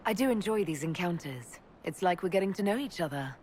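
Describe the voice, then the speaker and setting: Woman doing a calm confident elf heroine from a game, measured lowish voice, slight bg noise. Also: natural voice. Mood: businesslike. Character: calm, self-assured female elf mage leader. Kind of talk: video game dialogue acting.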